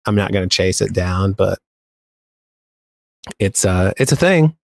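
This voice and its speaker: A man with a fairly low voice talks as a podcast co-host. He reports news in a casual, conversational way. The sound is dry, with hardly any room in it. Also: animated, unhurried, gravelly, dry recording. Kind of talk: casual pop culture podcast chat.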